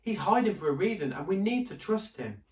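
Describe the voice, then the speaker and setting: A man's voice: sits high, very animated, fairly quick, far from the mic, pitched above the performer's own voice. A man doing a nervous, cautious young boy. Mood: worried. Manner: raised, hesitant delivery. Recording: roomy, from off the mic.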